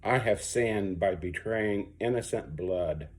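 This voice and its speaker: A man's voice: on the low side, slow pace, a little mellow. A man reading a remorseful betrayer in an amateur church dramatic reading, with faint noise behind. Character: remorseful betrayer confessing his sin.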